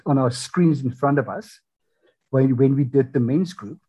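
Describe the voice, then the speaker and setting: A man hosting a podcast, chatting casually and reacting with quick, enthusiastic exclamations. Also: mid-range voice, slightly rough, muffled recording. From South Africa. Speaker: podcast host. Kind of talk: casual interview chat.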